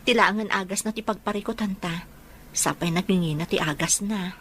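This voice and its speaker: Woman acting as tender young mother, hopeful, medium pitch, faint background noise, radio drama. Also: a little fast, fairly bright tone, lightly acted.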